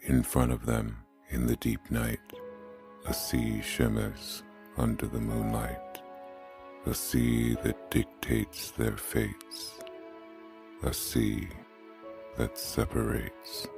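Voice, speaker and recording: An actor playing a solemn male reciter, reading love poetry in a measured way for an audio drama. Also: extremely low pitch, level tone, vocal fry, music in the background, heavily acted. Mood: solemn, mournful.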